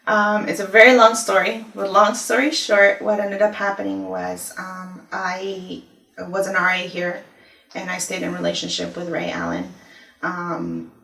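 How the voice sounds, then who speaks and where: A woman in her thirties from Ecuador, a university office director, telling her life story in a relaxed interview with frequent pauses. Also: on the low side, gravelly. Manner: thoughtful, many "um" pauses, storytelling. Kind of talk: conversational testimony interview. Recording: echoey room, mic at a distance.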